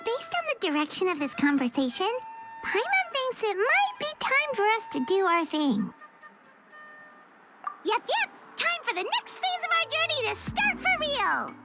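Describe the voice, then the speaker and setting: A woman voicing a tiny, perky, childlike female fairy companion in a video game, with a squeaky, chirpy voice. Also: huge pitch swings, unhurried, over loud music, heavily acted. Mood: eager, confident. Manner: chirpy, refers to self in third person. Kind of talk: video game character voice acting.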